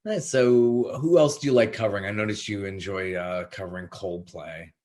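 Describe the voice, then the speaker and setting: A man's voice: lowish voice, moderate pace, a little mellow. A man hosting an arts podcast, asking easygoing questions and giving brief affirming replies. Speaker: podcast host. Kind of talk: casual interview chat.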